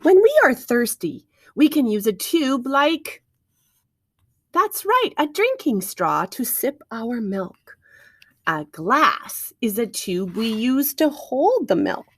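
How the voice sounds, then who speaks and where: A woman with a fairly high voice reads a picture book to young children in a very animated way. She speaks as a teacher would, carefully and expressively, putting questions to her listeners. The recording is close and dry. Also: takes it slowly, breathy edge, no room sound. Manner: expressive, encouraging listeners with questions and wondering asides.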